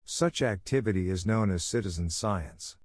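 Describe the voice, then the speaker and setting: A man reading scripted academic passages aloud in a measured, careful, formal way. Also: low-pitched, no echo. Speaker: reader of model read-aloud test passages.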